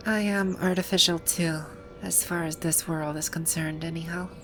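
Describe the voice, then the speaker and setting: A woman playing a haughty, grouchy video game villain boss with a secretly soft side, speaking in imperious, formal grumbles in an ASMR roleplay. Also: fairly low pitch, fairly even intonation, moderate pace, faint music behind, low-quality recording. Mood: musing, grouchy. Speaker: audio roleplay voice actor.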